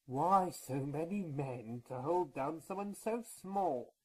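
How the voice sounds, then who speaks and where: An actor playing an unimpressed, questioning male board reviewer with a husky voice in a horror audio drama. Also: fairly high pitch, lively intonation, slow pace, full character voice, low-quality recording.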